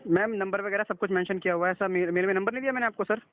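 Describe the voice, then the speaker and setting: A high-voiced man rattles along very quickly over a thin telephone line. He is a customer in a dispute. He sounds insistent and keeps cutting in. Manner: insistent, interrupting. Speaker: customer disputing with a loan company. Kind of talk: argumentative phone call. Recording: low-fidelity recorded phone call.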